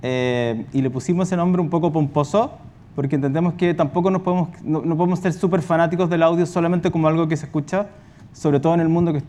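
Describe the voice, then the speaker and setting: A man's voice: a little high, mellow. A man giving a wordy explanatory answer as a company representative on a panel, circling back with asides.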